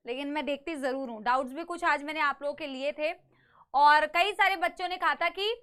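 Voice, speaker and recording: A woman's voice: high voice, a little fast, fairly bright tone. A young woman teaching a live online biology class with a slightly sore throat, who coaxes her students, calls them "beta" and mixes English phrases into her lecture.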